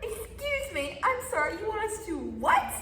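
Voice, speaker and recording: A woman's voice: extremely high pitch, very animated, normal speed, sharp tone, exaggerated voice. A woman voicing a vain, haughty schoolgirl diva with pompous theatrical delivery in a puppet comedy. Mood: indignant. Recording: echoey hall, distant mic, faint noise.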